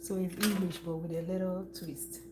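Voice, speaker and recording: A woman's voice: fairly low pitch, level tone, normal speed. Chatty Nigerian woman, noisy kitchen. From eastern Nigeria. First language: Igbo. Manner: chatty, laughs often, talks while working. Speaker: cooking livestream host. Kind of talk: casual chat while cooking. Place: home kitchen. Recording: noisy room with hum.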